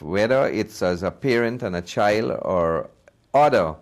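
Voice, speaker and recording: Low-voiced man giving earnest interview answers. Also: darkish tone. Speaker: filmmaker being interviewed. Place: TV studio.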